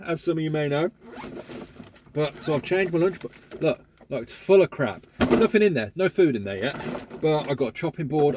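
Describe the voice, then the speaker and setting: Man with a mellow tone, chatty vlogger grumbling about his day with casual swearing. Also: a little high. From England. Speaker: van courier vlogger. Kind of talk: casual vlog monologue.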